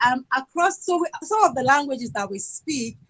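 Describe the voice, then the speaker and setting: A Nigerian woman with a Yoruba accent, presenting her country's culture and songs in an unhurried talk full of fillers. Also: sits high, sing-song, low-quality recording. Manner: sings folk songs, speaks slowly and warmly with fillers.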